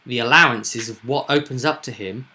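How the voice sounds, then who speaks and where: A man's voice: medium pitch, normal speed, sharp tone, rough recording. A man running a tabletop roleplaying game, explaining things in a reflective, conversational way. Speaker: game master.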